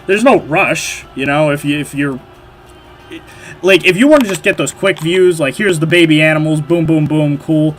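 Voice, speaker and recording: A man's voice: on the high side, moderate pace, a bit bright, faint music behind. A man with a nasal voice hosting a livestream, chatting casually and rambling with lots of fillers and restarts. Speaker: livestream host. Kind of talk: casual livestream chat while modding.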